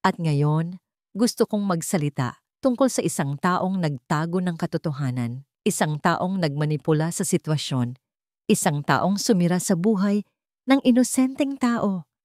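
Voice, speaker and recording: A woman's voice: lowish voice, expressive, a little slow, a little mellow, no room sound, clean studio sound. A woman voicing a protective, determined male CEO in a dramatic storybook, solemn and emphatic. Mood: accusing.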